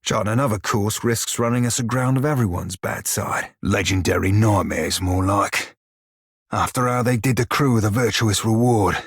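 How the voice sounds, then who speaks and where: An actor voicing a short, crude, wry pirate shiphunter for a video game, with a husky, rough-edged voice and a pirate dialect full of salty sailor slang. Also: male voice, fairly low pitch, moderate pace, no room sound, studio quality. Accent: pirate-style dialect. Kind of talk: video game character dialogue and barks.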